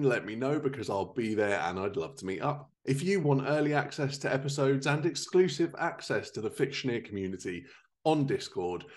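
A man in his thirties presenting a podcast in an upbeat, enthusiastic, scripted style. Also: mid-range voice. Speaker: podcast host. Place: living room at home.

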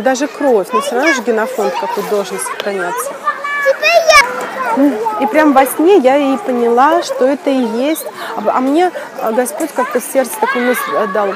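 A woman telling a personal story in a casual way, animated and laughing often, with many fillers and restarts. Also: fairly high pitch, very noisy. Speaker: video blogger.

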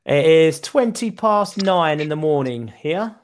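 A middle-aged British man tutors English over a headset on a video call. He talks in a relaxed, chatty way from a small room, often restarting his sentences with a repeated word. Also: a little high, a little slow, a little hiss or hum. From United Kingdom. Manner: chatty, repeats words when starting a thought. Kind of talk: casual conversation lesson.

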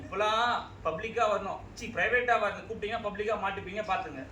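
A man's voice: sits high, fast talker, sharp tone. A man acting in a stage comedy as a wisecracking, argumentative bank staffer with a mocking manner. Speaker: stage actor. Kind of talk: comic stage play acting. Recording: distant mics, echoey hall, noisy.

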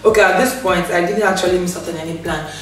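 A young woman chats to camera from her sofa, expressive and quick to laugh, her voice still recovering after she lost it. The room rings with strong echo, and she sits a little back from the mic. Faint music plays underneath. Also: on the low side, recorded from a distance. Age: young adult. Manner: chatty, expressive, laughs often, with hand gestures. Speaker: vlogger. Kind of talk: casual storytime rant to camera. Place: indoor living room, on a grey sofa.

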